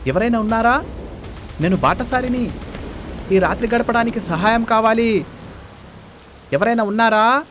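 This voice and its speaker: A man's voice: very high voice, measured pace, long pauses, background noise, voice pushed up. A man voicing a confident, urgent young male traveller in a cartoon story, in a casual, questioning manner. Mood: calling out anxiously.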